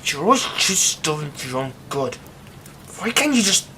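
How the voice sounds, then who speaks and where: A man voicing a secretive young man who hides a werewolf curse, in an ASMR roleplay drama. He speaks in a hushed voice that often drops to a whisper. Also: on the high side, normal speed. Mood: tense, defensive. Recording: steady rain noise behind the voice.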